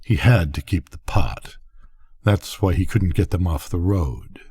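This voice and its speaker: A man's voice: low-pitched, unhurried, warm tone, breathy edge. An older man voicing a proud, wistful ranch wife in a bedtime story, tense and insistent with her questions. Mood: sad.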